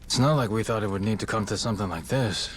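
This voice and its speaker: A man's voice: lowish voice, quick pace, a little hiss or hum, natural voice. A man playing an evasive, placating Yakuza schemer in a video game, with a deferential manner. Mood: apologetic. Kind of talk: video game cutscene acting.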